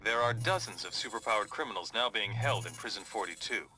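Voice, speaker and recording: Confident hero commander, low voice, measured game briefing, noisy background. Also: male voice, lively intonation, pushed and bright. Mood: measured, resolute. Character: confident armored superhero leader briefing his team. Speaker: mission briefer. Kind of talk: video game cutscene briefing.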